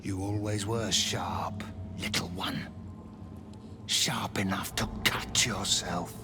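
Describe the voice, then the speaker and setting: A man playing a cruel, gloating undead servant in a video game, with a gravelly voice and a mocking, sing-song menace. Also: sits low, steady tone, slow. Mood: gloating, taunting. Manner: mocking, sing-song menace, speaks of himself in third person. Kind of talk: video game character acting. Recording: music behind the voice. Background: music.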